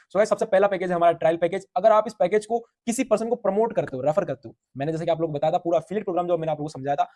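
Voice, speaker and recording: A man giving an energetic sales pitch for a referral income scheme, piling on hyped repetition. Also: very fast.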